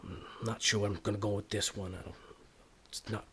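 A man acting a sullen, animated young boxer. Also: a little high, normal speed. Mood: brooding, doubtful. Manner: streetwise slang, stammering when cornered. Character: reluctant, hot-headed young boxer, sullen and defensive. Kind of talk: period crime drama acting.

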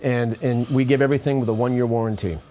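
Male shop expert in his thirties, average pitch, plain informative delivery, conversational. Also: fairly even intonation, fast talker, dark tone, a little hiss or hum. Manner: matter-of-fact, informative. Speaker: pump rebuilder, shop expert. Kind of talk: casual explanatory interview. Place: indoor auto rebuilding workshop.

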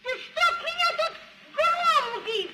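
Naive young cartoon lad, high plaintive voice, slow, old film music behind. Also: female voice, expressive, low-quality recording. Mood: indignant. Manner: plaintive, wailing. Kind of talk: vintage cartoon verse acting.

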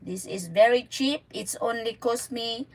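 A woman's voice: a little high, unhurried. A woman in her forties with a Filipino accent, chatting casually and giggling on a live stream while dyeing her hair, speaking into a phone held at arm's length in a small room. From Philippines. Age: 40s. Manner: giggly, chatty, mixing in Tagalog words. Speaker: channel host. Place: indoors in a small RV.

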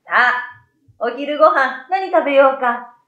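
A woman's voice: high-pitched, a little slow, echoey room, muffled recording. A woman voicing a caring, motherly mum who scolds and soothes in a storybook reading. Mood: gentle, explaining. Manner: motherly, gentle explaining.

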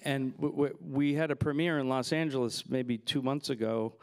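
Gravelly man telling stories on stage. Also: mid-range voice, moderate pace. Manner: relaxed, rambling anecdotes with fillers and laughs. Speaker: filmmaker guest. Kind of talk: festival Q&A storytelling. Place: festival stage in front of a backdrop. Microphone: handheld mic.

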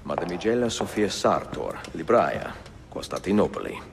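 A man playing a courteous, composed middle-aged Italian assassin in a video game, speaking English with an Italian accent in a measured way. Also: low voice, sing-song, gravelly, heavily acted. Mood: warm, thoughtful. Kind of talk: game cutscene acting.

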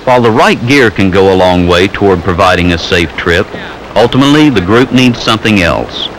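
Guy doing earnest training film narration, lowish voice, noisy old film audio. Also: lively intonation, fairly quick, a bit bright.

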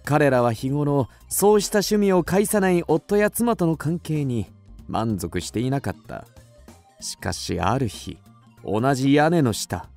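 Man playing a theatrical self-assured detective, animated delivery. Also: medium pitch, faint music behind. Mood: intense, revealing. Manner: theatrical, deliberate explaining. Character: cool, self-assured young male amateur detective. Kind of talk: Japanese radio drama acting.

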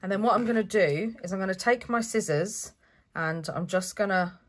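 A woman, stuffy from a cold, chatting her way step by step through a relaxed craft tutorial at a home desk. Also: medium pitch, normal speed. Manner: chatty, self-correcting step-by-step explaining. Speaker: craft channel host.

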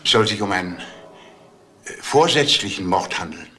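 A formal older businessman in a crime radio play, wistful and halting. The voice is fairly low and sharp, over faint hiss. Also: lively intonation. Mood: disbelieving.

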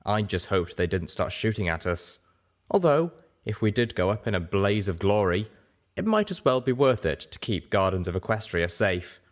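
A man's voice: fairly low pitch, big pitch swings, normal speed. A man reading a first-person story aloud as scripted narration. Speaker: audiobook reader, show host.

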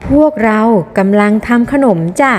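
A woman's voice: a little high, a little slow, darkish tone, pitched below the performer's own voice, lightly acted. A woman voicing a friendly, helpful small underground animal in a children's storybook, explaining things simply, with reverb on the recording. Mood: kindly. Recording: long reverberant hall echo.

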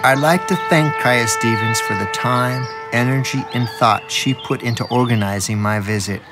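A man's voice: medium pitch, normal speed. A man hosting a documentary with scripted narration. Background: music.

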